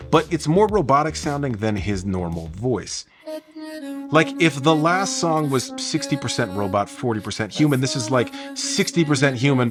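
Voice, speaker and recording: A man giving an enthusiastic, conversational video essay commentary, explaining things with emphasis as host. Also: mid-range voice.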